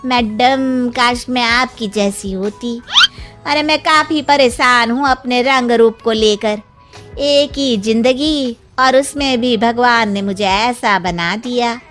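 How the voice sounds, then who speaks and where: A woman's voice: fairly high pitch, fairly even intonation, slow, fairly bright tone, faint music behind. A woman voicing a naive, wistful village housemaid in a cartoon story, with a folksy, fawning way of talking. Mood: admiring, troubled. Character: naive, wistful village housemaid, self-conscious about her looks.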